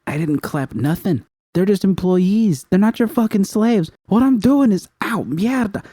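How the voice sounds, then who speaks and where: A man's voice: high-pitched, expressive, unhurried, dark tone, no echo, studio quality, pitched above the performer's own voice. A man voicing a fierce, defiant young woman who swears in anger at her captors. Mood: defiant, furious.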